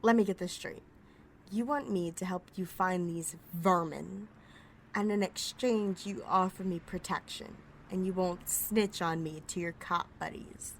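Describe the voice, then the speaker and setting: A woman's voice: on the low side, measured pace, slight background noise. A woman playing a cocky, wisecracking outlaw thief with a sassy, sarcastic, foul-mouthed delivery in an ASMR roleplay audio drama. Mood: incredulous, mocking.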